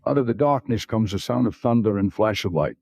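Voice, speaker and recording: A man's voice: on the low side, lively intonation, a little fast, gravelly, darkish tone, no echo, studio quality. A man reading old video game previews aloud.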